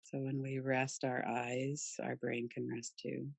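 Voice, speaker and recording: A woman leading a guided relaxation meditation in a soothing, gentle, unhurried manner with pauses. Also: very deep voice, little pitch movement, creaky, husky, no room sound. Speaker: yoga nidra meditation guide.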